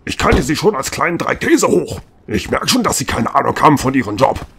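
A man playing an indignant, grumbling older villager with a raspy, querulous voice in an amateur audio drama. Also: medium pitch, big pitch swings, a little fast, fairly bright tone, a little hiss or hum. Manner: querulous, blustering. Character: indignant, grumbling older male villager, fussy about gnomes.

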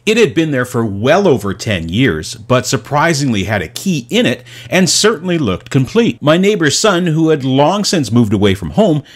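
A middle-aged man narrating his motorcycle restoration in a scripted voice-over, with a wry, animated delivery. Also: mid-range voice, moderate pace, few pauses, breathy edge.

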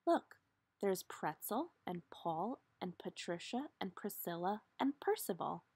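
Husky woman reading a picture book slowly. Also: medium pitch, very animated, a little mellow, no echo. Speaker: storybook reader. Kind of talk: expressive children's read-aloud narration.

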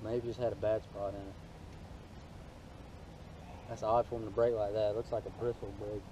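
A man's voice: lowish voice, little pitch movement, normal speed. A man, joking, cursing and coaching his partner while fishing from a boat, recorded on a mounted action camera. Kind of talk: casual chat while fishing. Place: open bass boat on a lake, outdoors. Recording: loud wind and boat noise.

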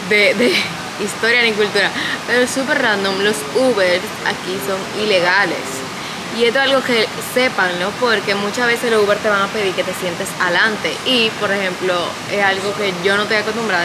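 Chatty young woman vlogging. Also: medium pitch. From Dominican Republic. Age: 20s. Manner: chatty, quick, mixes English words into Spanish. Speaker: travel vlogger. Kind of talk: casual vlog talk to camera. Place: outdoors and an open-air hotel restaurant. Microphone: handheld camera at arm's length. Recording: loud background noise, waterfall nearby.

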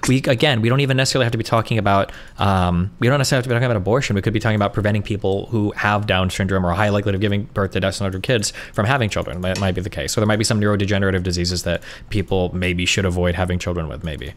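A man's voice: lowish voice, fairly even intonation, racing, hardly any pauses. A man in his thirties, a streamer debating from his home setup, talking down to his opponent. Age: 30s. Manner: condescending, testing principles. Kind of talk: heated debate. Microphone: studio mic on arm.

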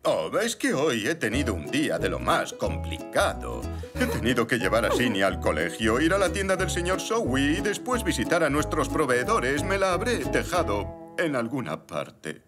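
A man's voice: medium pitch, sing-song, normal speed, close to an everyday voice. Man as a flustered, wildly swooping zebra dad. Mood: harried. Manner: harried, dramatic. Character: flustered, fussy zebra father and uncle. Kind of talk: children's cartoon dub. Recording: music behind.

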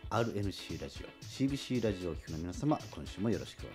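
A man's voice: low voice, very animated, racing, a little mellow. A man co-hosting a casual radio show, chatting and interviewing. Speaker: radio show co-host and stage actor. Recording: music behind the voice. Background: background music.

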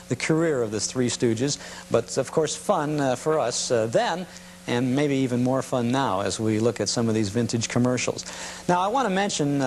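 Man hosting casually over hissy, humming tape. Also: on the high side. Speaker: host of a nostalgia broadcast. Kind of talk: casual unscripted introduction of vintage commercials. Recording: clearly noisy old TV tape with hum.

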